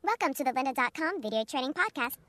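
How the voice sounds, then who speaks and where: A woman's scripted podcast introduction, processed with an upward pitch shift into a chipmunk-like voice. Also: expressive, quick pace, sharp tone, no echo, studio quality. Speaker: podcast announcer.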